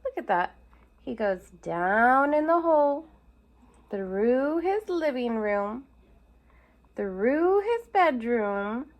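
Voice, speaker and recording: Woman teacher, storybook read-aloud for kids, slightly high voice, chatty asides. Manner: explains pictures with asides to young listeners.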